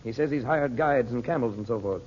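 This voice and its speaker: A man playing a steady adult protector who guards a secret, in an earnest, firmly reassuring 1930s radio drama style. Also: medium pitch, fairly quick, a little hiss or hum, close to an everyday voice. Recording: muffled old radio recording.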